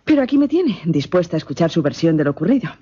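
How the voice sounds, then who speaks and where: An actress playing a confident, witty and curious newspaper reporter in a radio drama, articulate and assured. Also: female voice, fairly low pitch, very animated, fast talker, dark tone, breathy edge. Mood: wry, assured. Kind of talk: Spanish-language radio drama acting. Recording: muffled, lo-fi radio drama recording.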